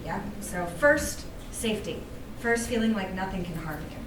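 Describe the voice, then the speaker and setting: A woman leading a workshop, speaking gently and invitingly to a group, with fillers. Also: mid-range voice, moderate pace. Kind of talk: guided workshop talk with meditation. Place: room with a live audience. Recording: roomy, distant mic with room noise.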